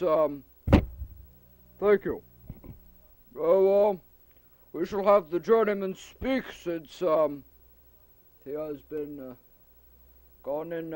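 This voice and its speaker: A man voicing a fussy male meeting conductor in an amateur audio drama, halting with many ums as he calls the room to order. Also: a little high, darkish tone, poor audio. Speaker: chairman of a meeting.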